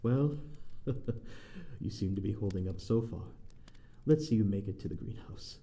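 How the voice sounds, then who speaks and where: A man's voice: fairly low pitch, animated, unhurried, vocal fry, warm tone, echoey room. A man playing a courteous, lonely vampire lord in an ASMR roleplay, speaking in a genteel, formal and slightly hesitant manner. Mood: gracious, welcoming. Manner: genteel, formal, hesitant, old-fashioned wording. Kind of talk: ASMR audio roleplay, amateur drama.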